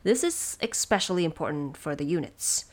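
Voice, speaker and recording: A woman hosting production notes, explaining things in a measured, semi-scripted and lightly wry way. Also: deep voice, animated. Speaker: host of production notes for an audio drama.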